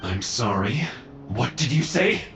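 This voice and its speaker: A man's voice: mid-range voice, big pitch swings, measured pace, music in the background. An actor playing a sneering, bullying villain thug in a video game, mocking and scornful in his delivery. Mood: threatening.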